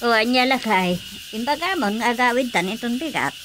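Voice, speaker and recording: A woman's voice: a little high, expressive, a little fast, noisy background, close to an everyday voice. A woman playing an older, knowing village wife who chats and gossips with her husband in a radio drama. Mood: matter-of-fact.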